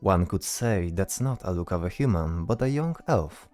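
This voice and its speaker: A man narrating a scripted fantasy story as storyteller, delivering it deliberately in short phrases. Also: sits low, expressive, darkish tone, soft background music.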